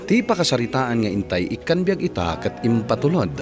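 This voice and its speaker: A man's voice: mid-range voice, very animated, brisk, natural voice. A man hosting a radio drama, reading a listener's letter in scripted narration. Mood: solemn, presenting. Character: earnest host reading a listener's letter aloud. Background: music.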